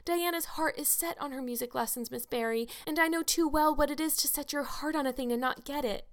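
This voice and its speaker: A woman's voice: fairly high pitch, expressive, brisk, a bit bright. A woman voicing an eager, dramatic young orphan girl, earnest and wordy, in a storybook reading. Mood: earnest pleading.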